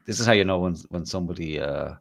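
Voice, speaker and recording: A man's voice: deep voice, fast, vocal fry. An Irish man hosting a podcast in casual chat, crude and mocking, often breaking into laughter. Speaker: podcast host.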